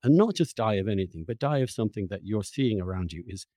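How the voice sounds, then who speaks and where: A man interviewing in a reflective long-form conversation, speaking earnestly and emphatically, often halting and repeating words. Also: on the low side, lively intonation, mellow, dry recording, studio quality.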